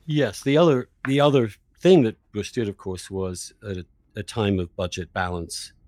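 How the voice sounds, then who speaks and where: A man's voice: fairly low pitch, animated, normal speed, darkish tone, no echo, clean studio sound. A man hosting a political podcast, debating in an emphatic, opinionated, argumentative way. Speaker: podcast host.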